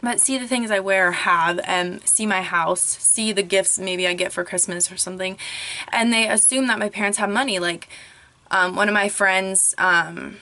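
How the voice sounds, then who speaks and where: A young woman vlogger, slightly hoarse from losing her voice, ranting casually to a camera propped up in her closet, full of "like" and "um". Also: mid-range voice, steady tone, normal speed, pushed and bright. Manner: chatty, frequent "like" and "um", rising frustration. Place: small closet at home, clothes all around. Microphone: camera propped up on a crib.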